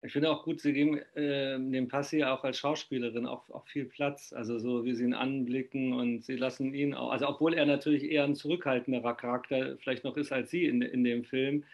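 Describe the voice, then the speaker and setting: A man's voice: medium pitch, level tone, brisk, hardly any pauses. A middle-aged man interviewing over a video call from his living room, rambling with many fillers and tag questions. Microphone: video call webcam. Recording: dull lo-fi webcam audio.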